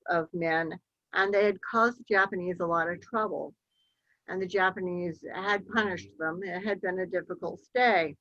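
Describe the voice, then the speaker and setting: Woman presenter, unhurried storyteller, mid pitch, muffled lo-fi recording. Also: a bit bright, dry recording. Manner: measured, slow storytelling with occasional ums. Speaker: local history speaker. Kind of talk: slide-show history talk. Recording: dull, lo-fi dry recording.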